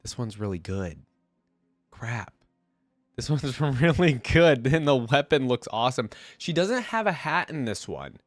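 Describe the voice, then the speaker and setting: A man's voice: medium pitch, big pitch swings, a little slow, no room sound, faint music behind. A man with a congested, head-cold voice giving casual reaction commentary as a video host, thinking aloud in informal slang.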